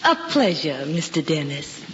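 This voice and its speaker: A woman's voice: low voice, very animated, moderate pace. A woman playing a gracious, proper young lady schoolteacher, genteel and polite, in an old-time radio drama. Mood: bright, coaxing. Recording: muffled old radio recording, reverberant, hissy.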